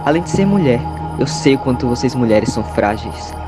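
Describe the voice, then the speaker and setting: A man's voice: medium pitch, expressive, a little fast, close to an everyday voice. A man playing an arrogant, sexist soap heartthrob in an amateur audio drama, haughty, sneering and dismissive. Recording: loud music under the voice.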